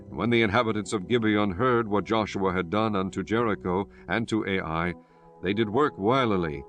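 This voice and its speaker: A man narrating a scripture audiobook in a solemn, measured and formal way. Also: on the low side, fairly bright tone.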